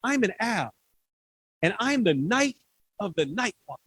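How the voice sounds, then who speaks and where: A man's voice: sits high, big pitch swings, a little slow, fairly bright tone, slightly rough, no room sound, clean studio sound, heavily acted. A man voicing a brave, clever little owl knight while reading a children's storybook aloud. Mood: brave, proud.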